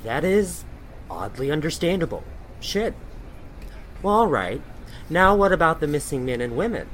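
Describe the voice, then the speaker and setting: A snide, confrontational muckraking blogger played by a man in an audio drama, with a highish, very lively voice. Each line is pointed and mocking, pressed like an interrogation. Street noise is clearly audible behind. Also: normal speed. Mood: pressing.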